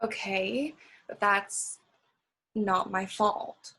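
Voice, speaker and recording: A woman's voice: mid-range voice, very slow, bright, forceful tone, breathy edge, heavily acted. A woman acting a defensive, successful older sister in a stage play, argumentative and self-justifying. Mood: guarded. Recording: live stage recording.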